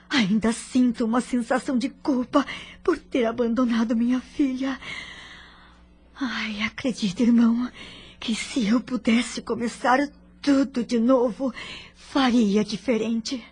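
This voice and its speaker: Casting: actress for tender, penitent Brazilian female spirit, slightly high husky voice, plaintive audio drama delivery. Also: a little mellow. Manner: plaintive, sighing, formal. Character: humble, remorseful, yearning female spirit, devout and tender. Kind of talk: religious audio drama acting.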